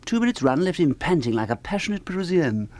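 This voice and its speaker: An actor playing a jovial, flippant British husband in a radio drama, with breezy, jokey, old-fashioned turns of phrase. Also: male voice, on the high side, sing-song, quick pace, darkish tone. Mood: self-satisfied. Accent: British English. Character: jovial, flippant middle-class British husband, joking electronics man.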